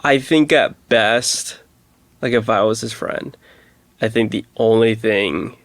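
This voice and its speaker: A man's voice: fairly low pitch, takes it slowly, dry recording. Blunt, informal man on a podcast. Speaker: podcast co-host. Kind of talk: casual chat with opinions.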